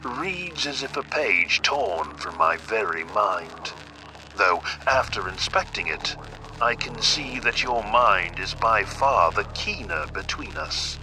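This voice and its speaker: Slow, solemn dying father. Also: lowish voice, animated. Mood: grave, wistful. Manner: formal, grave, measured. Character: weary dying father leaving a solemn farewell to his son. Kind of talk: audio drama monologue. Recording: noisy recorded message with hum.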